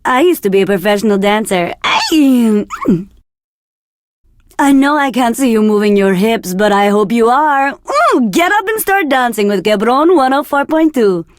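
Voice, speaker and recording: Game radio DJ voiced by a woman: seductive, self-assured, slightly high, very close and dry. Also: expressive, moderate pace, no room sound, close to an everyday voice. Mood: flirty, upbeat. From Argentina. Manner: sultry, seductive, teasing. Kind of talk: scripted game radio DJ patter.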